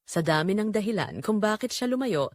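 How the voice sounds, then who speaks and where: A woman's voice: medium pitch, animated, fairly quick, no echo, clean studio sound. A woman with a Filipino accent narrating a scripted dramatic story as a storyteller.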